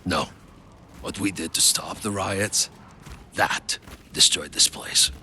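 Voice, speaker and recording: Bitter veteran, sharp, forceful voice. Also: male voice, deep voice, fairly even intonation, takes it slowly, heavily acted. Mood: bitter, sardonic. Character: bitter, sardonic, foul-mouthed male veteran. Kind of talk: video game cutscene acting. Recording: faint background noise.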